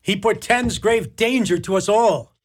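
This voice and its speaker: An actor playing a suspicious, plotting Roman senator, formal and ominous, in a radio drama. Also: male voice, high-pitched, unhurried. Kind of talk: mock-Shakespearean radio drama acting. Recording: loud background noise, clicks.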